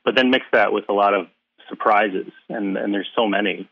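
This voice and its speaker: A lower-voiced man comes through a thin, phone-like line with a dry sound. He talks in a relaxed, friendly way, stopping often for "uh" as he gathers his thoughts. It sounds like a guest speaking on a remote call. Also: normal speed, creaky, husky, no echo. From Philadelphia area. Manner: hesitant, many uhs, relaxed and friendly. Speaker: guest author. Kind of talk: casual interview chat.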